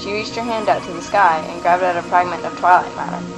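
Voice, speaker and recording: A woman's voice: fairly low pitch, quick pace, low-quality recording. A woman reading scripted narration for a fantasy radio play. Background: music.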